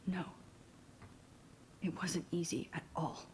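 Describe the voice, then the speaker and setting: A woman playing an earnest, quietly brave teenage girl in a video game, speaking softly and sincerely with a hushed delivery. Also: on the low side, slow, slight background noise. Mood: quietly pointed. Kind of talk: video game voice acting, soft introspective delivery.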